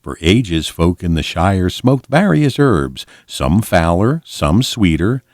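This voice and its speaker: A man with a deep voice reads a fantasy tale aloud. His delivery is measured and expressive, with lively swings in pitch. The sound is close and dry, suited to a bedtime audiobook. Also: dry recording. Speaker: volunteer storybook reader. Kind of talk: scripted audiobook narration of a fantasy book.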